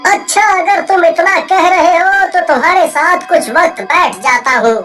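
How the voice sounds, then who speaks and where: Brief: woman as a high-voiced, earnest young cartoon villager, brave and plain-spoken. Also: moderate pace. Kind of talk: cartoon voice acting. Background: soft music.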